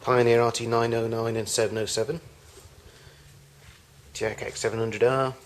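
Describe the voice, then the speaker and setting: A man's voice: medium pitch, flat delivery, moderate pace, a little hiss or hum. A man giving an unscripted tour of his collection in a home video, recorded in a garage on amateur camcorder audio.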